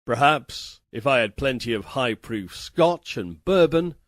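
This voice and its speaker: A man voicing a wry, erudite castaway who narrates his bizarre misadventures in a theatrical, deliberate, ironic audiobook style. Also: medium pitch, lively intonation, fairly bright tone, no room sound, clean studio sound. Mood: bitter, wry. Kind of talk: dramatic first-person audiobook narration of a pulp tale.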